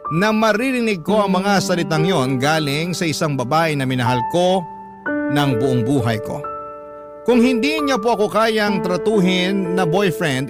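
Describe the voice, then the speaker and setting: A man playing a humble young Filipino telling his love story in polite, earnest first-person radio narration. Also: high voice. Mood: hurt, reflective. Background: loud background music.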